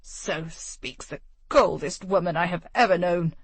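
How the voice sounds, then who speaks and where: A woman's voice: on the low side, a little slow, husky, no room sound. Woman voicing a furious stern nobleman. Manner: hard, accusing. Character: stern furious middle-aged nobleman, father.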